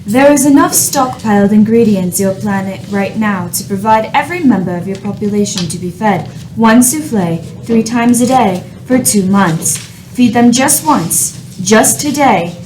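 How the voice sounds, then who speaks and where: A woman playing a contemptuous, menacing spaceship AI in a radio play, speaking in a cold, deliberate, haughty way. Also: mid-range voice, lively intonation, a little mellow, faint music behind, lightly acted, rough recording. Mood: haughty ultimatum. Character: contemptuous, menacing spaceship artificial intelligence judging humanity.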